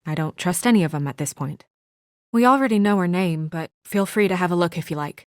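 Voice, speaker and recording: A woman plays a serious young female official in a video game, her voice on the low side and recorded very dry. She is businesslike but anxious, earnest and guarded, and grows hesitant under stress. The delivery stays naturalistic. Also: a little fast, no echo, close to an everyday voice.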